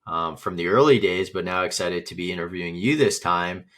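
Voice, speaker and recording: A man hosting a podcast, asking questions in a halting way with many "you know" fillers. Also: deep voice, fairly bright tone. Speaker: podcast host. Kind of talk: interview questions.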